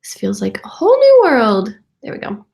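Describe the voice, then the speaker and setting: A woman's voice: a little high, wildly swooping pitch, normal speed, gravelly, darkish tone, echoey room. A young woman with a stuffy, congested voice from a cold, chatting casually and cheerfully at home while she answers viewers' comments with lots of fillers. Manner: chatty, upbeat, many fillers. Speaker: vintage lifestyle vlogger.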